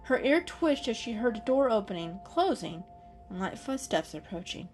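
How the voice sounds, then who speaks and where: A woman's voice: a little high, sing-song, normal speed, darkish tone. Woman narrating a story over faint music. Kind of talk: scripted children's story narration, dramatic reading.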